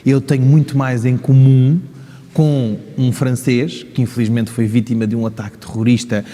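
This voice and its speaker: A man's voice: medium pitch, flat delivery, normal speed, dark tone. A man from Portugal with a European Portuguese accent, speaking as a community leader in an interview, articulate and emphatic with rhetorical questions. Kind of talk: interview answers. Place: large reverberant room in a mosque. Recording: hall-like long reverb.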